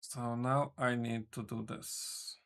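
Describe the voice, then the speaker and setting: A man's voice: medium pitch, steady tone, slow, fairly bright tone. A man streaming as a game developer, chatting casually with his viewers in a rambling way, correcting himself and swearing offhandedly. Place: home room.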